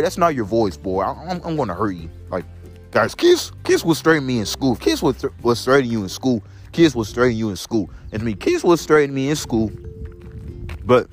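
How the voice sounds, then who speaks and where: A young man with a deep voice talks straight to his viewers, swinging his pitch wildly from low murmurs up to sudden high notes. He rambles and repeats himself, restating each line several times over. Faint music plays behind him. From Illinois, USA. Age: 20s. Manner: repetitive, restates lines in several persons. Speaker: vlogger. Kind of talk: rambling casual monologue to viewers. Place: outdoors in the cold.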